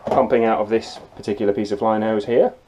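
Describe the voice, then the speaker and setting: Low-voiced man touring a tiny cabin. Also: fairly even intonation, fast. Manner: matter-of-fact, practical, with frequent ums. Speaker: sailor giving a boat tour. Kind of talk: casual walkthrough. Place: cramped cabin of a small yacht. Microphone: handheld action camera close up. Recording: close camera mic with handling clicks and noise.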